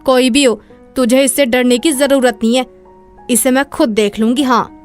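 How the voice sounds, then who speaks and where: A woman voicing a fearless, defiant young woman with a bold, emotional, dramatic manner in an animated moral story. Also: high voice, normal speed, faint music behind, close to an everyday voice.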